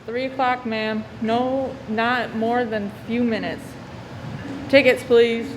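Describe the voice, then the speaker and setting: A woman playing a brisk, bossy, wisecracking bus conductor in a radio play. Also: on the high side, fairly even intonation. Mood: teasing. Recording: clearly noisy recording.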